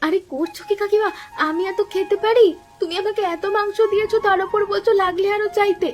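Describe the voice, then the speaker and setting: A woman's voice: very high-pitched, steady tone, fast talker. A woman voicing a proud, stubborn but polite young village girl in a cartoon, plaintive and insistent. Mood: surprised, polite. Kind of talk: cartoon voice acting.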